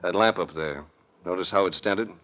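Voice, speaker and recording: Really low cool american private eye, deadpan n shrewd, old radio mystery show. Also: male voice, normal speed. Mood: cool deduction. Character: shrewd male investigator, calm, world-weary, narrating his case. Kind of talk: 1940s-50s radio drama acting. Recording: vintage radio recording.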